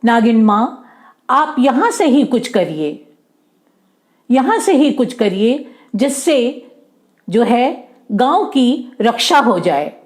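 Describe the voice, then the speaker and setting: A woman as slow, humbly begging villagers. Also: a little high, sing-song. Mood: reverent pleading.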